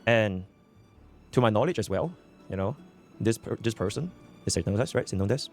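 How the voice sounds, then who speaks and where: Man, low voice, rapid hesitant rambling, fillers, faint music. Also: lively intonation, a little mellow. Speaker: content creator in a clip. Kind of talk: rambling apology explanation.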